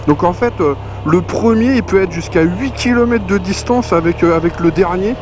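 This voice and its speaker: A man's voice: high-pitched, moderate pace, background noise. A man giving a casual, colloquial product review, chatty and slangy as he presents. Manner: colloquial, conversational, punctuated with slang and spoken sound effects. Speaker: motorcycle gear reviewer, presenter. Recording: music playing behind the voice. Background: clear background music.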